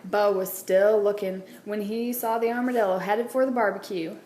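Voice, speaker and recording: A woman's voice: medium pitch, fairly even intonation, moderate pace, a little mellow. A woman, a teacher, reading a children's storybook aloud indoors. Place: indoors at a wooden table, book on camera. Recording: roomy echo, clear background noise.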